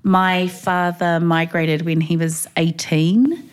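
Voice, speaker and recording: Middle-aged female guest, New Zealand accent, lowish voice, informal and halting storytelling. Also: level tone, smooth. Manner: informal, halting, frequently says "like". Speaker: podcast guest, poet. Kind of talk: casual chat, personal storytelling.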